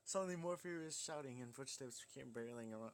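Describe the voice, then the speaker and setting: A man's voice: medium pitch, fast talker. A man reading a visual novel aloud as dramatic narration, casual and prone to stumbling over lines. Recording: loud music under the voice. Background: game music.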